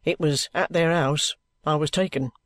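A man's voice: fairly high pitch, fairly even intonation, normal speed, a bit bright, dry recording, lightly acted. A man playing a blunt, courteous, stubbornly resigned trooper in a dramatized classic novel, speaking plainly and formally. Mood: cordial, earnest.

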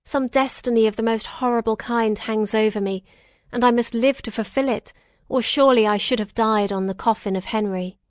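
A woman's voice: a little high, fairly even intonation, moderate pace, dry recording, clean studio sound, lightly acted. A woman voicing an anguished, feverish young man in a storybook, exclamatory and melodramatic. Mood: sorrowful.

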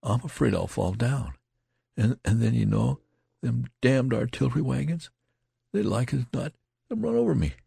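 A man plays a wounded, dying soldier from a war storybook. He is bewildered and afraid, with a husky, creaky voice that halts, shakes and repeats itself. The sound is dry and close. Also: low voice, no room sound. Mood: fearful, begging. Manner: halting, monotonous, repetitive, shaky.

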